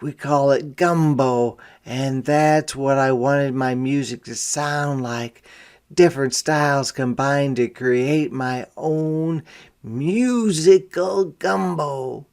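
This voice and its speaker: A man reading a picture book aloud to young children as a teacher, phrasing deliberately and pausing to explain words simply. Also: mid-range voice, darkish tone. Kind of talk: interactive children's read-aloud with explanatory asides.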